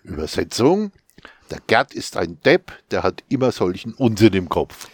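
A man with a Franconian accent, co-author of a quiz book, chatting casually and reading quiz questions with lively emphasis. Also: lowish voice, normal speed, slightly rough. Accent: Franconian German. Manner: animated, laughs, whispers asides. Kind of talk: casual chat.

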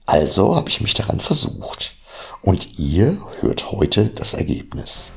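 A man hosting a podcast and reading his own short horror story in a hushed, half-whispered narration, with a husky voice. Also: fairly low pitch, sing-song, takes it slowly. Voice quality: husky, gravelly. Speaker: podcast host.

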